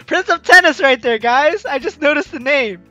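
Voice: high voice, animated, a little slow, pushed and bright, no room sound, dull audio. A let's play host reading visual novel lines aloud and reacting in an exclaiming, giggly way. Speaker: gaming video host. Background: game music.

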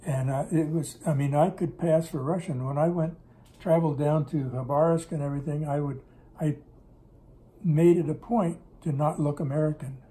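A man's voice: a little high, fairly even intonation, moderate pace, dark tone, poor audio. Older man rambling through stories at home. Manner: rambling, self-correcting storyteller. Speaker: interviewee recalling business in Russia. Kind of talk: casual storytelling interview. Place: home kitchen table, indoors. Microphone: camera on table in front.

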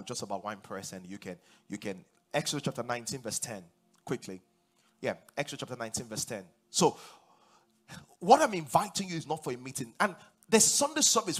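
A man in his forties with a Nigerian accent, preaching a sermon on a large church stage, animated and rising to loud emphatic declarations. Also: medium pitch, breathy edge. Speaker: pastor.

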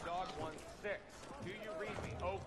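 A man acting a wartime radioman in a video game. His voice is high and urgent, in crisp military procedure, against clear outdoor noise. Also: fairly even intonation, brisk, lightly acted. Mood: calling. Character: urgent army radio operator reporting to his officer.

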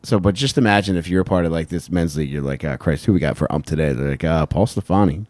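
A man's voice: low voice, fast talker, darkish tone, no echo. An American man in his thirties telling stories casually as a comedy podcast co-host, with slangy, sweary talk into a handheld mic. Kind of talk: casual comic storytelling. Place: small wood-panelled podcast room, indoors. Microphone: handheld microphone held close.

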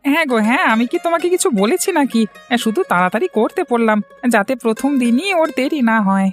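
Woman voicing a fussy, quick-talking fuchka-seller big brother. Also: a little high, expressive, soft background music, natural voice. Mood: impatient. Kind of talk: cartoon moral-story dialogue.

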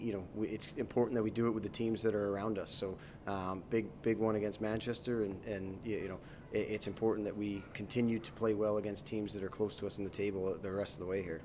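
A man in his thirties, a hockey coach, answering post-game questions in a measured way, with many fillers and restarts, into a handheld mic. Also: fairly low pitch, a little mellow, a little hiss or hum. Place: arena interview backdrop, indoors. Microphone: handheld mic held by interviewer.

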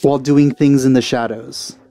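Casting: male let's play host, mid-pitched, dark relaxed tone, sceptical asides, faint music behind. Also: moderate pace, dry recording. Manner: wry, sceptical. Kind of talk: casual gameplay commentary. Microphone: close dry mic. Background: faint game music.

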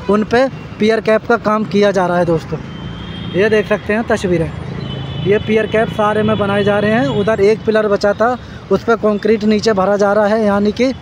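Man with a high, flat voice vlogging outdoors. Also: background noise. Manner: repetitive, addresses viewers as friends, points things out. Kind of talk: casual walking construction-site vlog. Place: outdoors beside a busy road and flyover construction.

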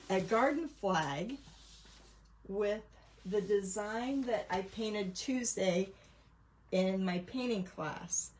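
A woman's voice: mid-range voice, slight background noise. A woman chatting casually as she hosts a craft livestream, rambling through a show-and-tell of her painting work. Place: indoors at a craft table.

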